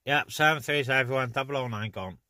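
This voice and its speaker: A man's voice: medium pitch, fairly quick, heavy background noise. A man chatting casually over CB radio from inside a car, as one of the operators. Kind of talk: casual two-way radio chat. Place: inside a parked car on open moorland.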